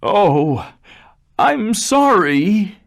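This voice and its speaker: A man voicing a sad, frightened father in a storybook reading, gentle and sorrowful. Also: on the low side, big pitch swings, drawn-out words, gravelly, slightly rough, dry recording, studio quality, heavily acted. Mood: pleading. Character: sad, fearful old father.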